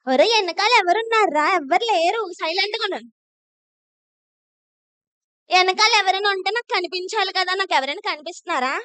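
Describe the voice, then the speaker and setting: Lady talking to sunday school kids, bossy teacher style, high sharp voice. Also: expressive, a little fast, long pauses, no echo. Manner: bossy, teacherly, repeatedly instructing. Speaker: Sunday school puppet show host. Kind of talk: live address to children.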